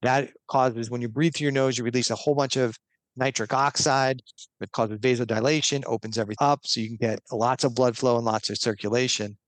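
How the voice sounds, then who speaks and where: A man's voice: mid-range voice, fairly even intonation, brisk, no room sound. A man talking as a guest expert on a podcast, explaining earnestly step by step with a few restarts.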